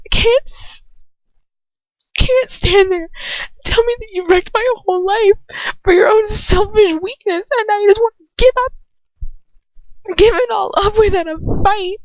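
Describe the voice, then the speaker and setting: A woman's voice: very high voice, a little slow, pauses often, no room sound, dull audio, voice pushed up. A woman voicing a heartbroken young woman who pleads with her lover in an emotional, trembling story reading. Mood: anguished pleading.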